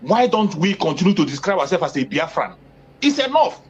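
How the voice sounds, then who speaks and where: Emphatic man, husky and high. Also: very animated, fairly quick. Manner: emphatic, repeats phrases for force, rising pitch when stressing points. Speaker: activist interviewee. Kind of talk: impassioned political interview talk. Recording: dull lo-fi audio.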